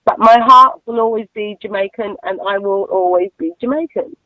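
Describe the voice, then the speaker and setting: A woman's voice: mid-range voice, normal speed, bright, forceful tone, dry recording, muffled recording. A woman with an East London Cockney accent talking casually as an interview guest, emphatic and given to repeating herself. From East London, England. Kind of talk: casual radio interview conversation.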